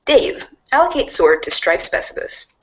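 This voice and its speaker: A woman reading narration aloud in an animated storybook style for a reader's theater. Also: fairly high pitch, normal speed.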